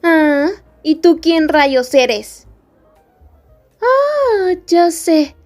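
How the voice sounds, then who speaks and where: Woman voicing a mean high school bully girl, high voice, super slow scornful drawl, asmr roleplay. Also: sing-song, soft background music, full character voice. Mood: mocking. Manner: taunting, scornful, drawn-out delivery. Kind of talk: Spanish ASMR roleplay acting.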